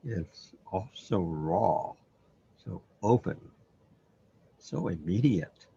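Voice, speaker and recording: An actor playing a troubled, bewildered man in a surreal sci-fi radio drama, hesitant and halting as he muses aloud. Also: low-pitched, lively intonation, vocal fry, mellow, husky, dull audio, exaggerated voice. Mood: awed, overwhelmed. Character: troubled, bewildered man overwhelmed by cosmic reality.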